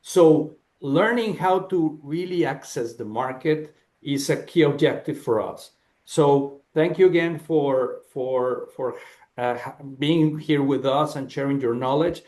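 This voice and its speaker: A man's voice: a little high, a little slow, darkish tone. A man, hosting and giving formal welcome remarks on a video call. He halts often as he searches for words. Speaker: host of the session.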